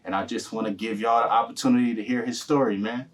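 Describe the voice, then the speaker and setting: A man's voice: medium pitch, steady tone, fast, far from the mic. A man hosting a casual interview, encouraging his guest with short replies. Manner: encouraging, many short backchannels. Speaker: host.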